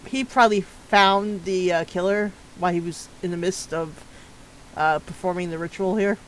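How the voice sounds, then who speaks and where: High-voiced male gaming streamer. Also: a little hiss or hum, low-quality recording. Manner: chatty, relaxed, recapping for chat with small stumbles. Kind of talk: casual let's-play commentary.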